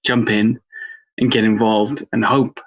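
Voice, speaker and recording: A man's voice: lowish voice, little pitch movement, measured pace, muffled recording. A middle-aged man from Wales, an author answering interview questions in a rambling, enthusiastic way with lots of fillers. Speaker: cryptozoology author.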